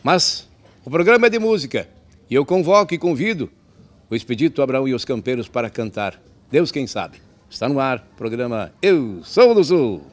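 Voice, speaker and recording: A man's voice: medium pitch, very animated, moderate pace. A man, hosting a TV program in a declamatory announcer style from a wooden stage at an outdoor festival camp. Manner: declamatory announcer delivery, rising to big exclamations. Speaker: TV show host. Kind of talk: enthusiastic TV presenting.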